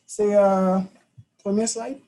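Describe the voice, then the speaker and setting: A man's voice: sits high, level tone, slow, mellow, a little hiss or hum, poor audio. Hesitant man giving a speech. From Cameroon. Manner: informal, hesitant with frequent fillers. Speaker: club member giving the thought of the day. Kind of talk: short club speech with slides. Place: meeting in front of an audience.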